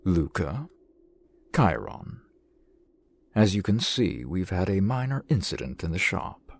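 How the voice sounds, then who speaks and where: Male actor as shrewd fantasy merchant, low husky male voice, formal and wry, unhurried, silky roleplay delivery. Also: sing-song, exaggerated voice. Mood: calm, authoritative. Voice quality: gravelly, husky. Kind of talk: fantasy ASMR roleplay acting. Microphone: close microphone, dry sound.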